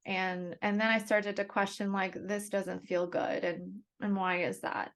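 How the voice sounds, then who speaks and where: A young adult woman, born in Russia and raised in Canada, telling her life story as a podcast guest in a soft, thoughtful way with pauses. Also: mid-range voice, flat delivery. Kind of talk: reflective personal storytelling.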